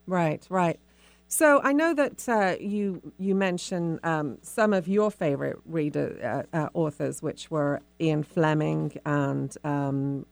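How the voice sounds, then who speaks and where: A woman's voice: low voice, darkish tone, no echo. A woman hosting a radio interview, introducing her guest and putting questions in an informal, conversational way. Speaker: radio show host interviewer.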